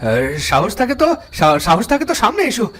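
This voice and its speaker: A man's voice: high-pitched, wildly swooping pitch, normal speed, fairly bright tone, slight background noise, heavily acted. A man voicing a frightened village man in a cartoon ghost story, stammering and repeating his words as he challenges an unseen presence. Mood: frightened, defiant.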